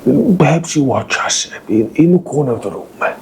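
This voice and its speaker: A man voicing a haughty, crude English aristocrat in heightened received pronunciation, drawling and slipping into breathy whispers. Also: mid-range voice, very animated, normal speed, slight background noise, voice pushed up. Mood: leering.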